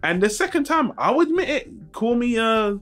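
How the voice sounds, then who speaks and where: A man's voice: very high voice, sing-song, normal speed, a bit bright, soft background music. A man hosting a casual film review vlog, talking informally and frankly to his viewers with some mild swearing. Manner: informal, mildly profane, frank. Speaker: YouTube channel host.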